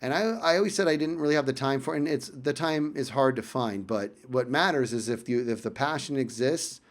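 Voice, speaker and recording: A man casually telling a personal story in vlog style, animated and emphatic, often repeating phrases for effect. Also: mid-range voice, brisk.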